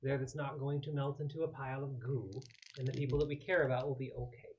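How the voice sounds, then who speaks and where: A woman's voice: a bass voice, flat delivery, fast, a little mellow, lo-fi sound, a little hiss or hum. A woman playing a tabletop role-playing game, talking in character as an android.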